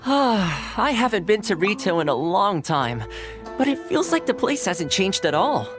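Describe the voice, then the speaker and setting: An actor playing a friendly, easygoing young male retainer in a video game, talking in a relaxed, chatty way. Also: high-pitched, a little slow, over music. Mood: cheerful. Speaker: game character.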